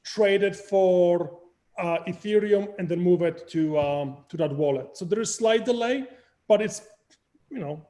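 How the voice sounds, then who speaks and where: Brief: energetic Polish-accented guest in his forties, highish voice with a warm, mellow tone, webcam sound. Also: measured pace. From Poland. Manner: energetic, explains with hand gestures. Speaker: crypto project founder, guest. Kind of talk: video call interview. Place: home room with framed art and plants. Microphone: video call, webcam.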